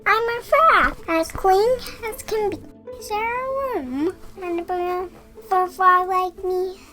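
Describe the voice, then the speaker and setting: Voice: very high-pitched, takes it slowly, pushed and bright, faint music behind, pitched above the performer's own voice, exaggerated voice. An adult storybook reader voicing eager, polite little animals with a pleading, sing-song rhyming manner. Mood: eager, hopeful. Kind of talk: children's storybook character voice.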